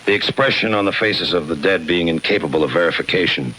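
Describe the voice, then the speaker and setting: An actor playing a cynical, world-weary homicide detective in a 1950s radio crime drama, clipped, deadpan and sardonic. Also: male voice, low voice, fast talker, a little hiss or hum, low-quality recording. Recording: 1951 radio broadcast with music behind.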